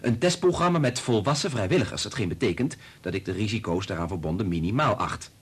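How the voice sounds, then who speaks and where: Principled doctor in radio drama; low husky voice, earnest, firm. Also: male voice, animated, quick pace. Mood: firm, indignant. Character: principled, earnest male doctor and family friend. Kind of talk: Dutch radio play acting.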